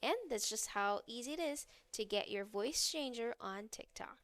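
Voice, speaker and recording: A woman's voice: fairly high pitch, normal speed, a bit bright, clear, resonant tone, no room sound. A woman reading a scripted how-to narration, giving step-by-step instructions as a tutorial narrator.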